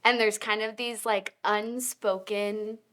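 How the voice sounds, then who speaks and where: A woman's voice: medium pitch, steady tone, slow pace, a bit bright. A woman in her late twenties, a guest in a casual faith chat, telling her story in an earnest, reflective way. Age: 20s.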